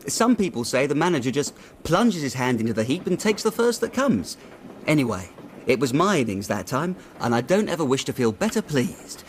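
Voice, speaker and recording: Actor playing animated young clerk. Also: male voice, mid-range voice, normal speed, slight background noise, natural voice. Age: young adult. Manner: chatty, slangy, deferential. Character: earnest, worried young male London city clerk. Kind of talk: radio drama acting.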